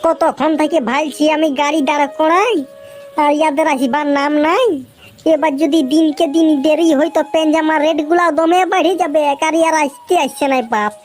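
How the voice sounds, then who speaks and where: An actor voicing a cocky, grumbling village big brother in a comic cartoon, boastful and exaggerating, with a Purulia Bengali accent and a pitched-up voice. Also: fairly even intonation, fairly quick.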